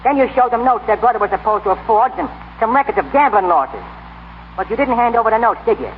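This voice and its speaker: Fast-talking New tough guy. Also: very high-pitched, animated. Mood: tough, accusing. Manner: slangy, streetwise, bossy, ungrammatical. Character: cocky, tough-talking fight manager in love. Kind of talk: 1930s radio acting. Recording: scratchy, tinny 1930s radio broadcast.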